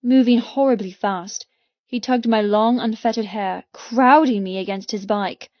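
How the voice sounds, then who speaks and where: A woman's voice: mid-range voice, measured pace, no echo, dull audio. A woman reading scripted, dramatic first-person narration as an audiobook narrator.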